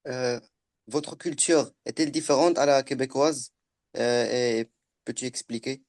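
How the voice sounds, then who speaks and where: A man asks interview questions for a school project. He stumbles through them with fillers and repeated words. His voice sits at a middle pitch. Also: measured pace, darkish tone. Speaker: student interviewer.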